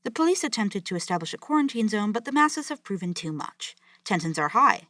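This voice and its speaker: A woman's voice: mid-range voice, sing-song, fairly quick, fairly bright tone, no echo, clean studio sound. A woman playing a grave, formal news anchor delivering urgent bulletins in an audio drama. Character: grave female news anchor reporting a city's collapse.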